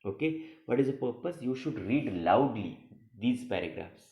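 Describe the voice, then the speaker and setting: A man teaching a lesson, reading practice paragraphs aloud in a deliberate, stumbling way and repeating phrases. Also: fairly low pitch, warm tone. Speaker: college teacher. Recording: echoey room sound.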